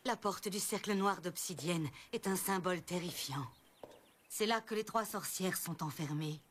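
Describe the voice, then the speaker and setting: A woman's voice: fairly low pitch, normal speed, slight background noise, lightly acted. A woman playing a grave, commanding fairy headmistress in a cartoon. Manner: solemn, commanding. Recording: music behind.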